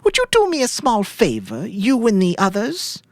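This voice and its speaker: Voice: mid-range voice, huge pitch swings, moderate pace, a little mellow, no room sound, clean studio sound, voice pushed up. A performer voicing a tearful widow who speaks politely and pleadingly, with a false, coaxing sweetness, in a story reading.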